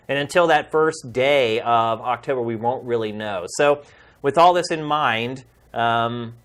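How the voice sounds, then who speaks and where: Earnest middle-aged man hosting a podcast. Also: medium pitch. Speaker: podcast host. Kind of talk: casual, heartfelt address to viewers.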